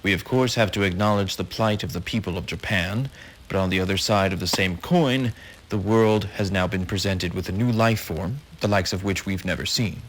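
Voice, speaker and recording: A man voicing a thoughtful scientist with a very low voice, speaking calmly and deliberately. The sound is a little distant, with faint hiss behind it. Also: lightly acted. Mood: earnest. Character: calm male scientist, thoughtful. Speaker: scientist being quoted. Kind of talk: scripted news soundbite.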